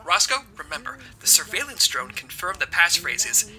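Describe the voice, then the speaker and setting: An actor playing a spy team leader who gives dry, wry commands. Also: male voice, high-pitched, sing-song, normal speed, sharp tone, heavily acted, poor audio.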